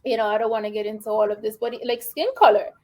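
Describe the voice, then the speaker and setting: A woman's voice: medium pitch, fairly even intonation, quick pace, a little mellow. A woman from the Bronx with a New York Puerto Rican accent, a guest educator speaking earnestly and reflectively, filling her talk with "you know" and "like". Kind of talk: conversational interview.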